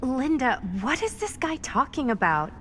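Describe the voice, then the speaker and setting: A feisty, high-voiced young woman. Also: very animated, normal speed, fairly bright tone, soft background music. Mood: puzzled. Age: young adult. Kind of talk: cinematic horror game acting.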